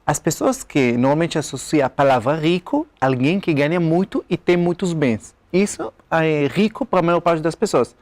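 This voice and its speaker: A man's voice: on the high side, lively intonation, normal speed, hardly any pauses, darkish tone, no room sound. Israeli-accented man explaining confidently. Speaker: speaker and author. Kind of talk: TV interview guest. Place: TV studio.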